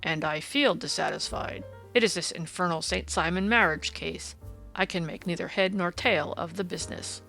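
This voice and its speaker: A woman voicing a gruff, irritable policeman in an audiobook, snarling and emphatic with indignation. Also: on the low side, animated, moderate pace, bright, forceful tone, faint music behind, full character voice, rough recording. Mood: disgruntled. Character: gruff, irritable, blustering male police detective.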